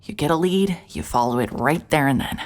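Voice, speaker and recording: A woman's voice: deep voice, animated, a little fast, slight background noise. A woman voicing a hard-hearted private investigator, narrating in a dry, hard-boiled inner monologue. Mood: guarded. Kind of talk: noir first-person narration.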